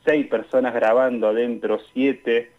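The audio is a slightly muffled, lo-fi remote call. A young man with an Argentine accent answers as an interview guest in a casual, conversational style, with a mid-pitched voice. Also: steady tone, unhurried. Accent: Argentine Spanish. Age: young adult. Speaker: student guest, radio drama performer.

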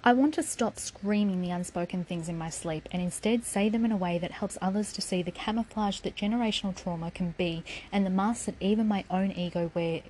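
A woman speaks with raw, defiant intensity, swearing freely as she lays out a personal account. Her voice sits at an ordinary middle pitch and now and then sinks to a near whisper. A faint hiss of room noise lies underneath. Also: a little fast, hardly any pauses, darkish tone, poor audio. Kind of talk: personal spoken essay.

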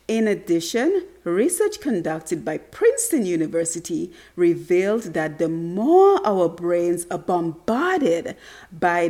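A woman's voice: lowish voice, dark tone. Congested woman hosting an upbeat talk show. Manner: upbeat, earnest, speaks straight to listeners. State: congested, slightly raspy from allergies. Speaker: radio show host. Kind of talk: motivational talk show monologue.

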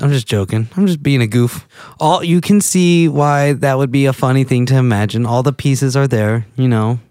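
A man's voice: medium pitch, a little mellow, clean tone, no echo, clean studio sound. A man in his late twenties with a Texas accent, rambling goofily through a casual monologue as a podcast host. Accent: Texan. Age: late 20s. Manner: goofy, rambling, self-interrupting.

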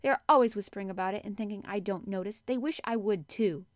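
A fretful young boy from a storybook, acted out by a woman reader. He sounds imperious and resentful, restless and quick to demand answers, in a very dry recording. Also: medium pitch, animated, no echo, close to an everyday voice. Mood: cross, bitter. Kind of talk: audiobook character acting.

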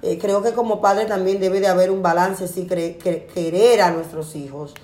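A woman's voice: on the low side, fairly even intonation, moderate pace, dark tone. A woman on a radio talk about parenting, speaking earnestly with some hesitation. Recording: echoey room.

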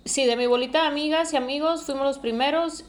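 A woman's voice: sits high, steady tone, fast. A woman, chatting casually with her husband, agreeable and teasing. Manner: agreeable, teasing, laughs easily. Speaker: podcast co-host.

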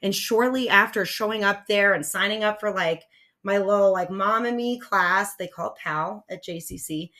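A woman in her forties with a raspy voice, co-hosting a podcast in spunky, excitable casual chat. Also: mid-range voice, normal speed. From United States. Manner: spunky, excitable, lots of fillers. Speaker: podcast co-host.

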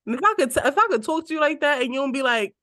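A woman's voice: high voice, lively intonation, very fast, background noise. A woman in her twenties co-hosting a podcast, chatting casually, teasing and cursing, often breaking into laughter. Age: 20s. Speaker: podcast co-host. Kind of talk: casual chat.

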